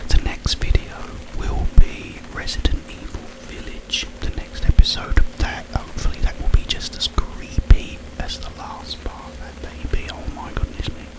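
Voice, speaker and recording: A man's voice: moderate pace, hardly any pauses, rough recording. Whispering man, ASMR ramble. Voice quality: breathy unvoiced whisper. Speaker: ASMR video creator and host. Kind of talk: whispered ASMR ramble retelling a film plot.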